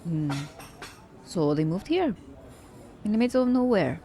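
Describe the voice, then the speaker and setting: A woman's voice: medium pitch, sing-song, measured pace, warm tone. A woman playing a poised, teasing female assassin in an ASMR romance roleplay, speaking formally and condescendingly to her captive werewolf. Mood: calm, explaining. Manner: formal, slightly stilted wording, teasing. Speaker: voice actor.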